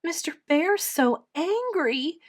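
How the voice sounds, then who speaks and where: A woman's voice: high voice, sing-song, slow, voice pushed up, full character voice. A woman voicing an emotional, lonely little girl from a storybook in an earnest, childlike manner. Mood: tearful, scared.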